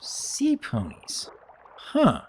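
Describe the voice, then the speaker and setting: A man's voice: high-pitched, huge pitch swings, drawn-out words, slight background noise, pitched above the performer's own voice, heavily acted. A man voicing a polite, earnest, easily bewildered pony baron in a dramatic story reading, with a hesitant, courteous manner.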